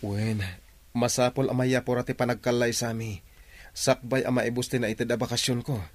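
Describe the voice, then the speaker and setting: A man's voice: medium pitch, level tone, brisk, close to an everyday voice. A Filipino man acting an earnest, tender young suitor in an Ilocano radio drama, speaking insistently. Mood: determined. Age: young adult.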